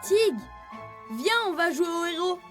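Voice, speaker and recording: A woman's voice: very high-pitched, expressive, unhurried. A woman voicing an eager, bossy young male leopard cub in a children's cartoon, playful and insistent. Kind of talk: animated children's cartoon acting. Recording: music behind the voice.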